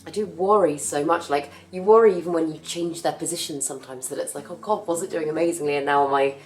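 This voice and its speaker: A young woman with a husky voice from a cough, chatting casually about her plants as she works. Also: low-pitched, quick pace, darkish tone, faint music behind, rough recording. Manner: chatty, rambling, self-correcting. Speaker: plant care vlogger. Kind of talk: casual chat while working.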